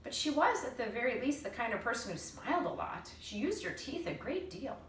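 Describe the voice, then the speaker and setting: A woman teacher reading a children's story aloud with expressive, dramatic narration and deliberate phrasing. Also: a little high. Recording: roomy, distant mic, faint noise.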